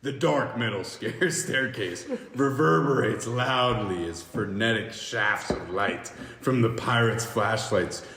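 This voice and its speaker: A man hosting a podcast, reading screenplay stage directions aloud in a halting, jokey amateur way with stumbles. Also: mid-range voice, fairly bright tone. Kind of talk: amateur screenplay table read. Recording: echoey reverberant room with faint hiss.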